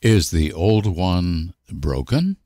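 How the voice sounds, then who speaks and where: A man reading short example sentences for language learners with deliberate, careful enunciation. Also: low-pitched, sing-song, warm tone, dry recording, clean studio sound. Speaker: language teacher.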